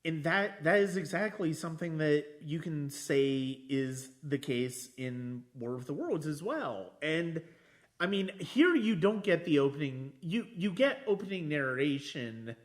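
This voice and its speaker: A man hosting a podcast, talking casually about films in a reflective, halting way with frequent restarts. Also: a little high. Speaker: podcast host. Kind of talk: casual film discussion.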